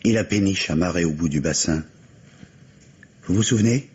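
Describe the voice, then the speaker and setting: An actor playing an anguished, fearful father in an old-fashioned French radio mystery, with a halting, dramatic delivery. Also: low-pitched, darkish tone, slightly rough. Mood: urgent, reasoning. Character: anguished father named Griffin, fearful for his daughter. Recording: dull, noisy old radio recording.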